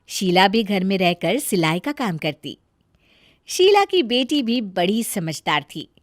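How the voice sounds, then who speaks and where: A woman storyteller narrating a scripted moral tale in an expressive, deliberate way. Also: mid-range voice, no room sound, studio quality.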